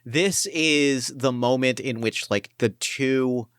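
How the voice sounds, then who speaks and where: A man's voice: mid-range voice, slow, a bit bright, clear, resonant tone, dry recording. Chatty, enthusiastic male podcast host. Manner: enthusiastic, chatty, many fillers. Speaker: podcast host and game master. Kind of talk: casual podcast chat. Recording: dry close podcast mic.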